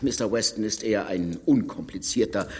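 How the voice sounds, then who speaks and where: An actor playing a reserved, ironic husband who speaks in a dry, matter-of-fact way. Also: male voice, lowish voice, fairly quick, darkish tone, long reverb tail, slight background noise, close to an everyday voice. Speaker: actor in a radio play.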